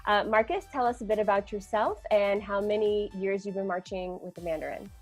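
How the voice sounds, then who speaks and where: A woman's voice: medium pitch, fairly quick, soft background music. A woman on the admin team interviewing drum majors over a video call, friendly and upbeat.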